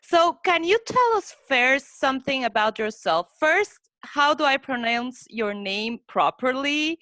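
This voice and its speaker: Female interviewer, Spanish native speaker, polite and a little halting, higher voice, dead-dry close sound. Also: lively intonation, pushed and bright, no echo, rough recording. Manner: polite, careful, slightly halting. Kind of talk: interview questions in a second language.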